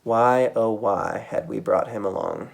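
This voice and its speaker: A man reading a children's book aloud as a storytime reader, with dramatic, expressive delivery. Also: lowish voice, unhurried, creaky.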